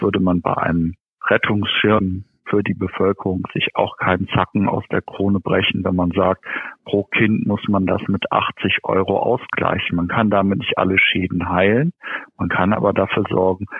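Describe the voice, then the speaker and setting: Low gravelly man on a phone line. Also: fairly even intonation, normal speed, no echo. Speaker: interviewed welfare association spokesman. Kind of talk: explanatory interview answers. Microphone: telephone. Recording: thin band-limited phone line.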